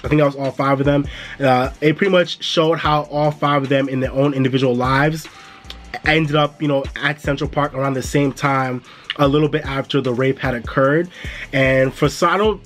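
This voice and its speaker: A man's voice: a little high, steady tone, moderate pace, fairly bright tone, soft background music. A man hosting a casual review vlog, laid-back and chatty, calling his viewers "y'all". He sounds a bit stuffy because he is coming down with a cold. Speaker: music and entertainment YouTuber.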